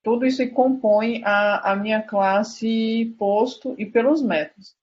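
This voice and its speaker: A woman's voice: a little high, steady tone, measured pace, a little mellow. A woman with a Brazilian Portuguese accent, a student talking casually in a live class and thinking aloud. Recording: dull online call, faint noise.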